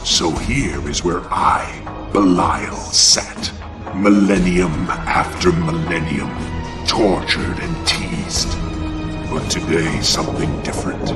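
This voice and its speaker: Bitter fallen half-angel half-demon, slow-spoken, sardonic, brooding, video game monologue, loud music behind. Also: male voice, low voice, distant mic. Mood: seething, vengeful. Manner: theatrical, brooding, deliberate, sardonic.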